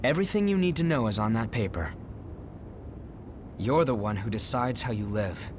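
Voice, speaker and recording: A man playing a calm, earnest, compassionate young leader in a video game, speaking in a measured, gentle, serious way. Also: medium pitch, background noise, natural voice. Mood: calm, reassuring. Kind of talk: English video game voice acting.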